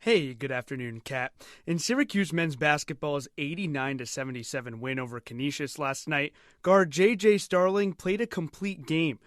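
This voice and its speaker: American male sports reporter, fairly high voice, scripted update in a very dry recording. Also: normal speed, a bit bright, no echo.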